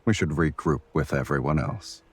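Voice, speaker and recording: A man voicing a confident, teasing, devoted romantic leader in a romance game, speaking in an intimate way. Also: very deep voice. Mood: playful teasing. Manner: slow, teasing, intimate delivery. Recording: music behind the voice.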